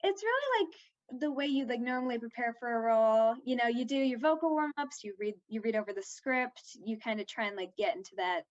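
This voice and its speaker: High-voiced teenage girl, slightly dull recording. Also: a little fast. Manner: chatty, peppers talk with "like". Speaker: young actress being interviewed. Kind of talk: casual interview chat.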